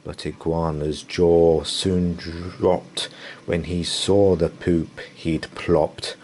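A man's voice: low voice, flat delivery, darkish tone, slight background noise. A man reading a children's bedtime story aloud in a playful, theatrical way. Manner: playful, theatrical, drawn-out delivery. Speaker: storybook reader.

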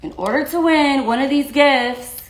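A young woman with a raspy voice chatting casually to followers on a phone livestream about a giveaway. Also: high-pitched, moderate pace, reverberant, noisy background. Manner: chatty, laughing, talking to her dogs between points. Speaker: social media influencer. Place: small bedroom indoors. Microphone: phone at arm's length.

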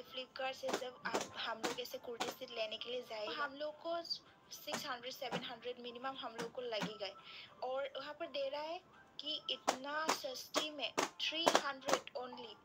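A woman's voice: high voice, low-quality recording. A young woman, chatting casually through a shopping vlog and praising cheap prices over and over. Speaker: shopping vlogger. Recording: voice-over over loud background music.

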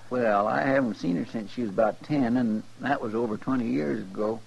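An actor playing an earnest, talkative, deferential deputy sidekick with a rural American accent in a 1950s radio western. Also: male voice, mid-range voice, fairly quick, creaky, lo-fi sound, slight background noise, close to an everyday voice. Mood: folksy, earnest. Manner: folksy, deferential. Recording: old 1950s radio recording.

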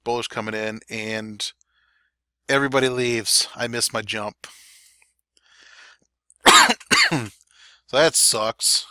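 Bright-voiced man commenting on game replays. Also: mid-range voice, slow pace, pauses often, no room sound, clean studio sound. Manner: relaxed, chatty play-by-play. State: dry throat. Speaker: clan war recap commentator. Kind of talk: gameplay commentary over replays.

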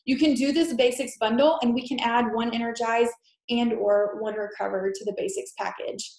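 A woman's voice: fairly high pitch, steady tone, moderate pace, darkish tone. A young woman with a stuffy head-cold nose, presenting a fitness webinar over a laptop mic in a chatty, filler-heavy, reassuring way. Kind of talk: casual sales presentation. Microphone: laptop mic on a video call. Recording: echoey room on a video call.

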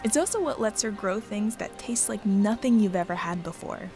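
A woman reporter reads a scripted feature narration with steady, measured delivery. Music plays clearly under her voice. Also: medium pitch. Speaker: reporter and host. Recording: voice-over with background music.

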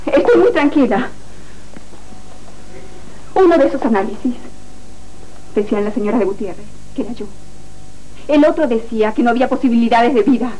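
Sarcastic young telenovela wife played by a woman, higher-pitched yet warm-toned, deliberate and hurt. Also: natural voice. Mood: indignant. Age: young adult. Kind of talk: telenovela acting. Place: indoor TV studio set. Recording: noisy old TV archive tape.

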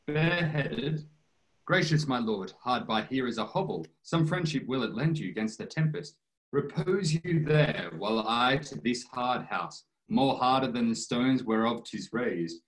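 A man's voice: mid-range voice, natural voice, rough recording. A man reading the part of a loyal, worried nobleman, earnest and urging. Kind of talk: play read-through.

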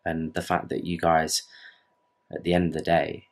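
A man's voice: extremely low pitch, little pitch movement, moderate pace. A young British man in his twenties, rambling earnestly to his camera at night in his bedroom, swearing casually. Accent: British English. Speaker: fitness vlogger. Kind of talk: casual vlog talk to camera.

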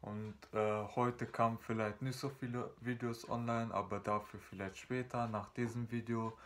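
A man's voice: mid-range voice, flat delivery, normal speed, fairly bright tone. A young man from Germany vlogging casually to his webcam in a small room, rambling with many fillers and restarts. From Germany, North Rhine-Westphalia. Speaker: YouTuber. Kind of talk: casual vlog chat to camera.

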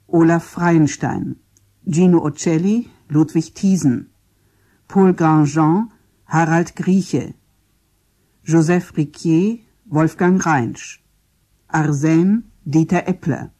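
A radio announcer reading scripted drama credits in a formal, deliberate manner. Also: medium pitch, mellow.

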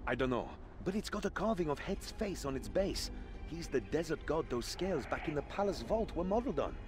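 Brash, high-pitched young adventurer. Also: male voice, brisk, over music. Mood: confident, curious. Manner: excitable, theatrical exclamations. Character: brash, plucky young adventurer on a desert quest. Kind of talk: video game voice acting.

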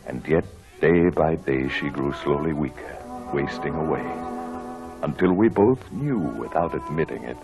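An actor playing a proper husband in a 1940s radio drama, speaking in a solemn, dramatic manner. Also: male voice, rumbling low, full character voice. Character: proper, indignant husband narrating his sorrowful memories.

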